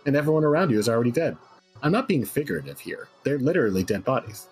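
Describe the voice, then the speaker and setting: A man's voice: medium pitch, very animated, fast talker, a little mellow, slightly rough, faint music behind. A man playing a bleakly nihilistic talk-show psychologist in a dramatic reading, lecturing a caller in a blunt, condescending manner.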